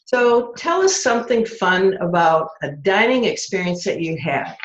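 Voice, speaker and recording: A woman's voice: medium pitch, lively intonation, unhurried. A woman leading a club segment on an online call, cheerful, reading out quotes and laughing easily. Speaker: table topics master. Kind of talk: hosting a club meeting segment. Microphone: online video call. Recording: echoey, dull call audio.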